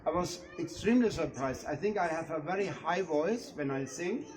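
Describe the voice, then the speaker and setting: Halting man giving thanks over noise. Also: sits high. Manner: halting, with restarts. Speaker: visiting guest speaker. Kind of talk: informal speech of thanks. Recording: loud background noise.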